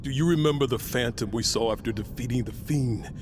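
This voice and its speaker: An actor playing a resolute, brooding warrior in a video game, speaking in a terse and earnest way. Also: male voice, brisk, music in the background, close to an everyday voice. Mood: troubled. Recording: rumbling engine-like noise behind.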